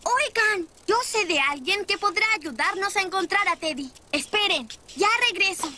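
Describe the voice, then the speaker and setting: A woman's voice: very high-pitched, lively intonation, normal speed, bright, forceful tone, slight background noise, full character voice. A woman voicing a cheerful, helpful young rabbit boy who encourages and explains in a children's cartoon. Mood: eager. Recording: music behind the voice.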